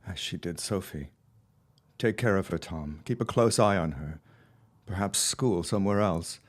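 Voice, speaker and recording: A man acting a low-voiced, grief-stricken father. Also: normal speed. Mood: grieving, subdued. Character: grieving, guilt-ridden father, early 20th century, torn and doubtful. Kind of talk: audio drama acting.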